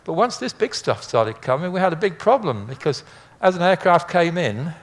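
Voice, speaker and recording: A man's voice: sits high, big pitch swings, moderate pace. Chatty older man giving an informal talk. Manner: chatty, rambling, anecdotal with dry humour. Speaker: speaker, former air traffic controller. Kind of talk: informal illustrated lecture.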